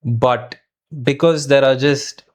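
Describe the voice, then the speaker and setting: A man's voice: mid-range voice, fairly even intonation, slow pace. A man co-hosting a conversational film review, thinking aloud in a hesitant, thoughtful way with the odd mild swear word.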